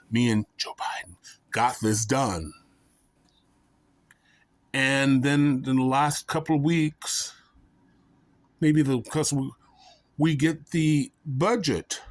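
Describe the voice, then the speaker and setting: A man telling a comedic story to the camera, folksy and self-mocking. His voice sits low and he takes his time. The recording is dry and close. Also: no echo. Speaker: vlogger.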